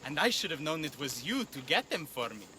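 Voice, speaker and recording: A man's voice: a little high, big pitch swings, brisk, pushed and bright, a little hiss or hum. A man playing a jovial, shrewd pelt trader in a video game, bantering in a familiar way. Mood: friendly, bantering. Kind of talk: video game cutscene dialogue.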